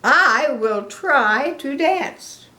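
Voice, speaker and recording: A woman's voice: high voice, animated, very slow, bright, forceful tone, voice pushed up, exaggerated voice. A woman voicing an earnest, unsure but willing boy elephant, speaking in simple, emphatic declarations for a children's picture book. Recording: echoey room.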